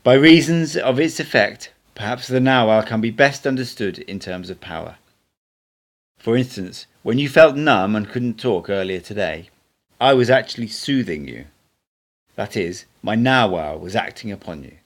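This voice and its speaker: A man's voice: on the low side, expressive, normal speed. A man reading the part of an unruffled male mystic teacher in an audiobook, instructive, cryptic and teasing. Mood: calm, explaining. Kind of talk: audiobook character dialogue.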